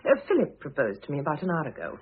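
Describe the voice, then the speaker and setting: Middle-aged former wife, radio play: dry, wounded but self-possessed, lively intonation, thin muffled vintage sound. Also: female voice, deep voice, very fast, husky, heavily acted. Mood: wry, teasing. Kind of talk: vintage radio drama acting. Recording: muffled, narrow-band old radio recording.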